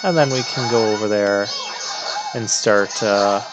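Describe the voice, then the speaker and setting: The unhurried guy on a gaming channel, feeling his way through each explanation while the game's soundtrack plays on behind him. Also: on the low side, low-quality recording. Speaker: gamer narrating a playthrough. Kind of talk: casual game commentary. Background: video game music.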